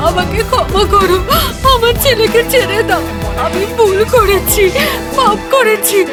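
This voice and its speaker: Woman acting a high, desperate guilty mother. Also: measured pace, over a noisy crowd. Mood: remorseful, begging. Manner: pleading, desperate. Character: guilt-ridden greedy stepmother pleading with her son. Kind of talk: Bengali horror cartoon dialogue. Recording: loud music behind voice. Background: loud music.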